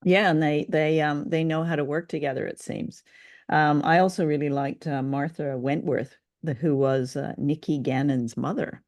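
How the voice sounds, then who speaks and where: A woman co-hosting a casual review chat in an understated, halting way. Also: deep voice, fairly even intonation, a little mellow. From Canada. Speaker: co-host of a radio drama review show.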